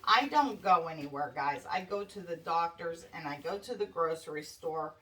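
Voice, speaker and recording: A woman's voice: lowish voice, expressive, normal speed, sharp tone. A woman with a stuffy, congested nose chatting casually and anxiously to her viewers while making a wreath. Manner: chatty, worried, addresses viewers as guys. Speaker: craft vlogger. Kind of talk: casual chat while crafting. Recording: distant mic with faint background noise.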